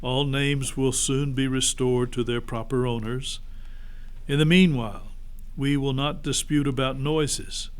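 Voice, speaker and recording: A man voicing a calm, commanding male lion in a storybook, grave and measured. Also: medium pitch, smooth, close to an everyday voice. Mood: grave authority.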